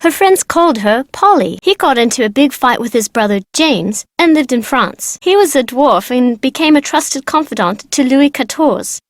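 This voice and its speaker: A woman's voice: high voice, animated, moderate pace, few pauses, no echo, studio quality. A woman voicing a chatty, precocious young girl from an aristocratic family in a video game, with casual, slangy, teasing talk. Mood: chatty, informative. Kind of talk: video game character dialogue.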